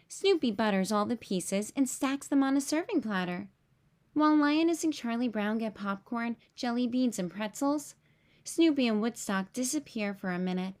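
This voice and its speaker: Female storyteller, high-pitched, expressive read-aloud for young children.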